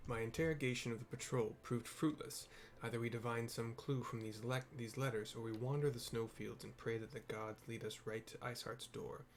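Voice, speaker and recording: A man's voice: medium pitch, steady tone, a little fast, hardly any pauses, natural voice. A man voicing earnest, formal fantasy game characters, reading wordy archaic dialogue with the odd stumble. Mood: grim.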